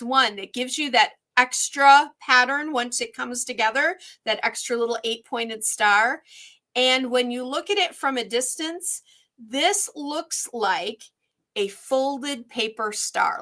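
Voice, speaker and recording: Warm-up host for a craft stream: woman, a little hoarse, upbeat, filler-heavy, bright tone. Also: on the high side. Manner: chatty, upbeat, frequent ums. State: slightly hoarse. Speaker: quilting workshop host. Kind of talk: live online quilting tutorial.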